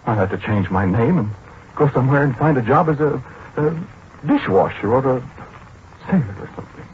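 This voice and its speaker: An American actor playing a fussy, exasperated middle-aged scientist who speaks hesitantly and excitably in a 1940s radio drama. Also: male voice, medium pitch, a little fast, slight background noise, lightly acted. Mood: aggrieved, despairing. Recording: muffled 1940s radio broadcast with music.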